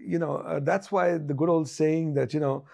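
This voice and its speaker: A middle-aged man, a singer and composer, talking through his career in an interview, with many pauses and fillers. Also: fairly high pitch, fairly even intonation, creaky, dark tone. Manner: halting, many fillers and restarts. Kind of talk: reflective interview talk.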